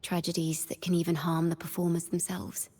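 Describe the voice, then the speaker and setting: A woman voicing a young, horned wandering bard in a video game, a cheerful yet wistful poet who speaks in lyrical, expressive storytelling with a reverberant tail. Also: on the low side, fairly quick, breathy edge. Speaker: game character voice. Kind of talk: scripted character monologue.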